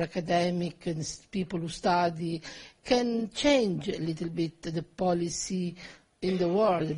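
An Italian woman with a somewhat low voice asks a question from the audience. She speaks English as a second language, haltingly, stopping to find her words. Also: a little mellow. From Italy. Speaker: audience member asking a question.

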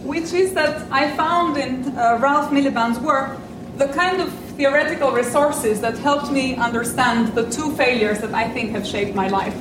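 A middle-aged woman from Albania, a native French speaker, delivers an academic lecture in a measured, reflective way. Her voice sits fairly high and now and then shows a dry, self-deprecating humor. The room around her rings audibly, with a faint hum of ambience underneath. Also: roomy sound, distant mic. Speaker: invited speaker.

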